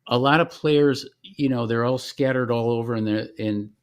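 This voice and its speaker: A man with a medium-pitched voice walks through a product on screen. He is informal and conversational, repeating phrases for emphasis as he demonstrates. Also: normal speed, clear, resonant tone. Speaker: software founder presenting a product demo.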